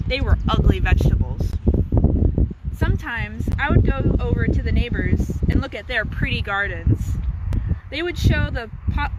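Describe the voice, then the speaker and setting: A woman's voice: fairly high pitch, dull audio. A young woman reading a children's picture book aloud as narrator, recorded outdoors. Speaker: intern reading a story. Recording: very noisy outdoor recording with clicks.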